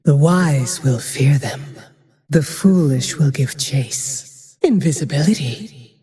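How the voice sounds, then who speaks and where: An actress voicing a grim, vengeful frost archer in a video game, with cold, terse, menacing delivery and a breathy tone that sometimes drops to a whisper. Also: female voice, sits low, slow, warm tone. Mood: brooding, vengeful. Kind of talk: video game character voice lines. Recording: long cavernous reverb tail.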